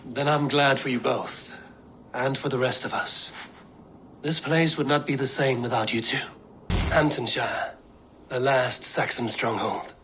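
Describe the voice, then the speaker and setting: An actor playing a steady, resolute male viking clan leader in a video game, measured in his speech. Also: medium pitch, slight background noise. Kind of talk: video game cutscene acting.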